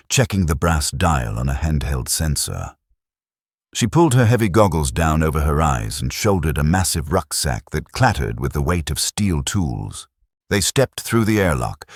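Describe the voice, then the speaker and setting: A man's voice: very deep voice, breathy edge, dry recording, clean studio sound. A man narrating a science fiction audiobook with dramatic storytelling delivery. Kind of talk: scripted sci-fi narration.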